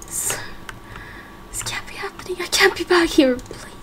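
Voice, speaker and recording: A woman's voice: extremely high pitch, normal speed, a bit bright, in a whisper, pitched above the performer's own voice. A woman voicing a frightened young boy in an amateur game roleplay, hesitant, stammering and pleading. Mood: panicked, desperate.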